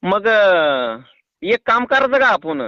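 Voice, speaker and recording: A man with a high, sharp voice and a rural Maharashtrian accent talks on a phone line. His delivery is colloquial and argumentative, like a farmer pressing his point. The recording is dry and muffled. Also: very animated, takes it slowly, dry recording. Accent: rural Maharashtrian Marathi. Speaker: farmer calling a doctor. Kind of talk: casual phone conversation. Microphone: phone call.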